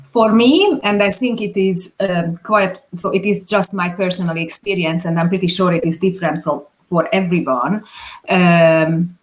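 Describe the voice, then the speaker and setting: A woman's voice: fairly low pitch, normal speed. A woman with a Hungarian accent, a non-native English speaker, answering interview questions hesitantly with many fillers. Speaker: guest, videographer.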